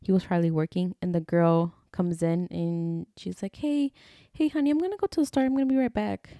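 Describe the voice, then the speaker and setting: Young female podcast co-host, low mellow voice, dry close mic, chatty with "like" fillers, drops into Spanish. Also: fairly quick, no echo. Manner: chatty, switches between English and Spanish, many "like" fillers. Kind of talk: casual chat.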